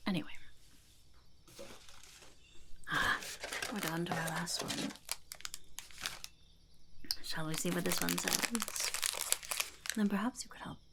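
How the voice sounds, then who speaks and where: A woman voicing a smug, blunt female goblin in an ASMR roleplay, speaking in a croaky half-whisper and self-importantly. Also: lowish voice, fairly even intonation, unhurried, pauses often, heavy background noise. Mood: put out. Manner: self-important, refers to herself in third person.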